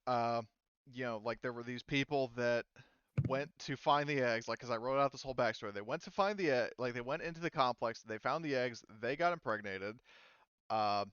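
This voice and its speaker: A man's voice: mid-range voice, moderate pace, fairly bright tone, clear, resonant tone, no room sound, dull audio. A man running a tabletop game, explaining backstory in a rambling, filler-heavy way. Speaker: game master. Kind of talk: casual out-of-character explanation.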